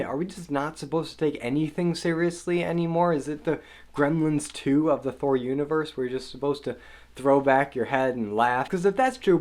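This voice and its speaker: A man's voice: medium pitch, darkish tone. A man talking through a spoiler review of a superhero movie, asking a string of questions about the plot and sounding mildly exasperated with it. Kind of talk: spoiler film review monologue.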